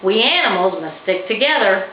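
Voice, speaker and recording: A woman's voice: mid-range voice, big pitch swings, moderate pace, lightly acted. A woman voicing a friendly, helpful fox in a children's storybook. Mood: friendly, urgent. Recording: roomy, slightly dull, faintly noisy recording.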